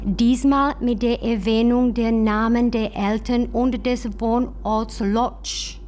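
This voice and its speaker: A woman playing a letter writer searching for someone, reading a formal letter in a measured way. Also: a little high, fairly even intonation. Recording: faint ambient background noise.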